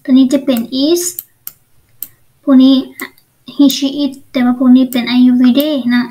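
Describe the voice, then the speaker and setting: A woman's voice: high-pitched, steady tone, slow pace, mellow. A woman with a Lao accent teaching English in an online lesson, explaining step by step and often asking check questions.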